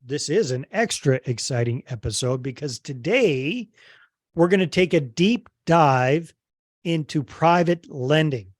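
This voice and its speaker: Give me an upbeat male podcast host with a slightly high voice. He talks in a chatty way and jumps in to finish his guest's thoughts. The sound is dry and close. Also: animated, a little slow, no room sound. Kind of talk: casual interview hosting.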